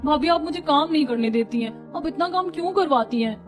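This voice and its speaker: Woman voicing an earnest, plaintive young wife, high-pitched. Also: expressive, a little fast, music in the background. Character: hurt young daughter-in-law finding confidence, cartoon.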